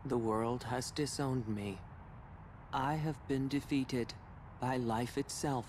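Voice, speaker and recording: A man's voice: medium pitch, steady tone, takes it slowly, slight background noise, close to an everyday voice. A man playing a melancholy, defeated video game villain, speaking in a theatrical, flowery, brooding manner. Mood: bitter despair. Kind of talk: dramatic video game voice acting. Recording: loud music behind the voice.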